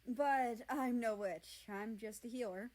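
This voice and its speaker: A woman voicing a friendly, considerate male healer in a fantasy storybook, explaining things gently. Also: a little high, slow.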